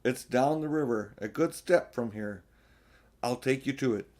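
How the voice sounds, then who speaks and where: A man's voice: mid-range voice, moderate pace, a little mellow, lightly acted. Guy doing a talking beaver from a storybook, folksy, earnest, cautious, explains everything with emphasis. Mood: earnest, urgent. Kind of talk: storybook character voice.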